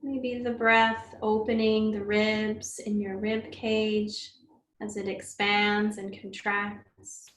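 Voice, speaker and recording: A woman leading a guided meditation as a teacher, speaking gently and soothingly, unhurried, with long pauses. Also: mid-range voice, level tone. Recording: roomy echo, faint background noise.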